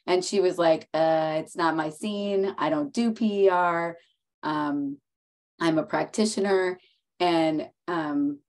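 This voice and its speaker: A woman panelist getting over a cold, chatting quickly with lots of fillers over a video call. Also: sits low, darkish tone. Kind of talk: online panel talk.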